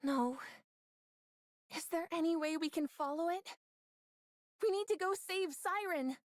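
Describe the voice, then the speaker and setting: High-pitched, earnest young android soldier girl. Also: unhurried, no room sound, studio quality. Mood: urgent, worried. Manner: earnest, pleading, emotional. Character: timid young female android soldier, desperate, stubbornly loyal. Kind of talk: dramatic anime game dub acting.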